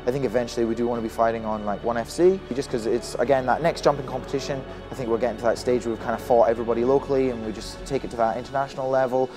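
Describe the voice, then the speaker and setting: Fast-talking young man over music. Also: mid-range voice, steady tone. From Scotland. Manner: quick, chatty, many fillers and restarts. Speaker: Muay Thai fighter and coach. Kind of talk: casual interview. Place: boxing gym, indoors. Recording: music bed under voice.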